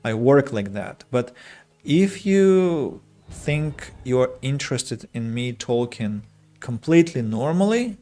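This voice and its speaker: A young adult man from Russia with a Russian accent, streaming a strategy game and chatting with viewers, choosing his words slowly and carefully with frequent restarts. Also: mid-range voice, big pitch swings, mellow, faint music behind. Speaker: streamer and English teacher. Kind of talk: casual gameplay streaming chat.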